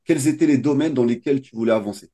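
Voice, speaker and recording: A man hosting a testimonial interview as a coach, asking his questions in measured, formal phrasing. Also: mid-range voice, warm tone.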